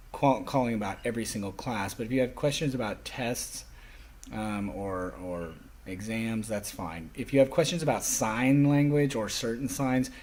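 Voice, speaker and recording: A man voicing a classroom lecture as an interpreter, speaking emphatically in halting phrases with stressed key words. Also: mid-range voice, darkish tone.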